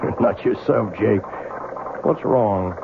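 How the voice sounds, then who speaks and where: An actor playing an anguished, guilt-ridden older widower in a brooding radio drama. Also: male voice, on the low side, gravelly, phone-quality sound, background noise. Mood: concerned, guarded. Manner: halting and hesitant in dialogue, brooding in narration. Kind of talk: 1970s radio drama acting and narration. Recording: band-limited old radio broadcast, music behind.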